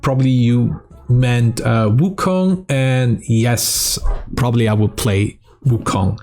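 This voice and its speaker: A man's voice: mid-range voice, slow pace, darkish tone, faint music behind. A young man from South Tyrol with a German accent, a streamer casually answering fan questions, swearing and laughing between answers. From South Tyrol, Italy. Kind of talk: casual Q&A vlog.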